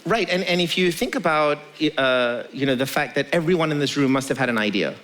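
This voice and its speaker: A man's voice: a little high, animated, a little fast, fairly bright tone. A man speaking conversationally as a tech founder on stage, emphatic, building points with repetition and rhetorical questions. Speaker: tech company founder, guest.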